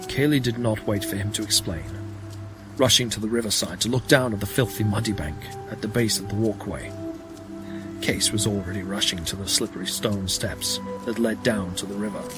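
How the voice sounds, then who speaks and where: A man reading dramatic scripted narration for a science fiction audio drama. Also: fairly low pitch. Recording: prominent music bed under the voice. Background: loud music.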